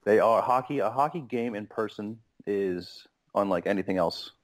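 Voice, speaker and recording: A man's voice: fairly low pitch, normal speed. A man in his thirties from Pittsburgh, a podcast guest chatting casually and rambling with many ums. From Pittsburgh, USA. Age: 30s.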